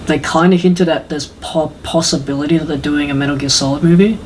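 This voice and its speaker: A man's voice: fairly high pitch, steady tone, a little fast, slight background noise. A man chatting casually as a podcast co-host, rambling with enthusiasm and some hesitation. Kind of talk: casual chat about games and films.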